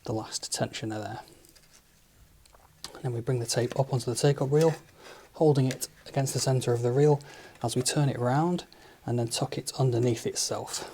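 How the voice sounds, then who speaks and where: Man calmly explaining studio gear. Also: mid-range voice, moderate pace, a little hiss or hum. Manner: relaxed, methodical step-by-step explaining with fillers. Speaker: studio engineer demonstrating equipment. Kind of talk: hands-on tutorial narration.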